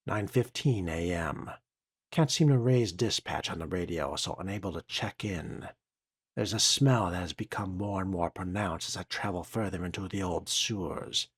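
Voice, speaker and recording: A man with a low, husky voice narrates a video game in character. He speaks in a measured, formal way with a dry, musing tone, as if thinking aloud or reading from a document. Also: no echo. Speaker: player role-playing a video game.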